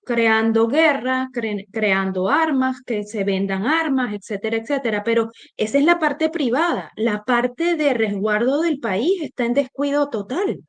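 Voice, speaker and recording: A woman's voice: fairly high pitch, animated, unhurried, hardly any pauses. A woman from Venezuela with a Venezuelan accent, co-hosting a political discussion in an emphatic, opinionated way, often restarting her phrases. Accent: Venezuelan Spanish. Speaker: co-host.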